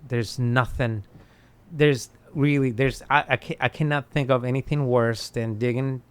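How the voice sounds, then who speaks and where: A Spanish-speaking man from Colombia with a Colombian accent, chatting as a podcast guest in an animated way, laughing and restarting often. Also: medium pitch, a little slow, clean tone. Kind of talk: casual conversation.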